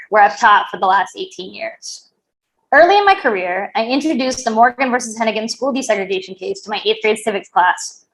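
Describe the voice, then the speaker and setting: Female panel moderator, forties, slightly high voice, articulate and earnest, delivering scripted opening remarks. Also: fairly bright tone, poor audio. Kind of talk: prepared introductory remarks.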